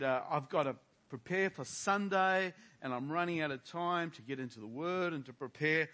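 Older guy preaching in church, kinda high voice, lots of um and uh and asking the crowd stuff. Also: fairly bright tone. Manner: earnest preaching full of rhetorical questions to the congregation; frequent fillers and restarts. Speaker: pastor. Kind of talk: sermon with opening prayer.

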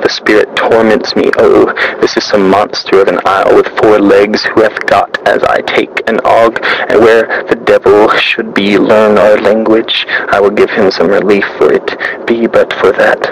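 A man's voice: lowish voice, flat delivery, measured pace. A man reading the part of a boastful drunken sailor from an old play, in archaic theatrical speech, over a noisy recording. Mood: swaggering. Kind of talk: reading a classic play aloud.

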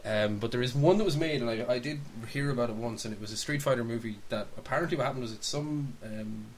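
A man's voice: medium pitch, fast, poor audio. A man chatting casually about films as a podcast host, rambling and thinking aloud. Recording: hissy background noise.

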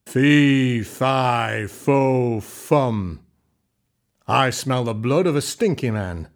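A man voicing a huge, bad-tempered giant in a storybook reading, booming and demanding. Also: expressive, extremely slow. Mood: menacing.

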